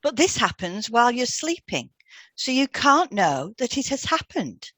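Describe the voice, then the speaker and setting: A woman co-hosting a podcast as a hypnotherapist, reading a script with measured, deliberate phrasing. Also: a little high, big pitch swings, a bit bright, dry recording.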